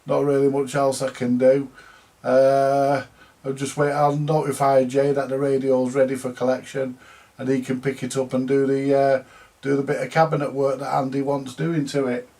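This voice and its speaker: A man's voice: medium pitch, completely flat delivery, moderate pace, dark tone. A man chatting casually and colloquially, rambling along as he hosts a repair video. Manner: colloquial, chatty, tag questions. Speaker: vintage radio repairer, video host. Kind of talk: casual rambling vlog chat.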